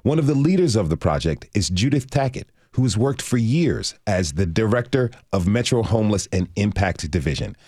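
A man's voice: lowish voice, lively intonation, normal speed, few pauses, dark tone, breathy edge, no room sound, studio quality. A man hosting a public radio show, presenting and interviewing guests.

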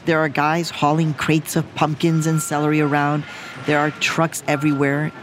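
A woman's voice: sits low, monotone, normal speed, slight background noise. A woman hosting a radio program, narrating a playful story from a field trip in scripted voice-over. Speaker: radio host. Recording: narration with music underneath.

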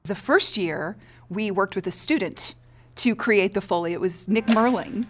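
A woman's voice: medium pitch, unhurried, noisy background. A woman interviewee telling anecdotes in casual interview talk. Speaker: theater director, interviewee.

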